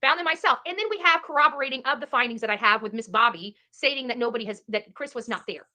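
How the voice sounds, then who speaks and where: Woman, fairly high pitch, bright forceful tone, emphatic repetitive phrasing, livestream commentary. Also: fast. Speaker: online true crime commentator. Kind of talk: livestream case commentary and casual chat.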